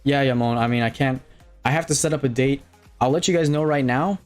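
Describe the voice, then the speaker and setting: A man with a slight Hawaiian accent, talking loosely to viewers during a live stream. His voice sits at an ordinary middle pitch and he wanders through his thoughts with lots of ums and restarts. Faint music plays underneath. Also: brisk. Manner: rambling, many fillers and restarts, reading chat aloud. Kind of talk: casual live stream chat.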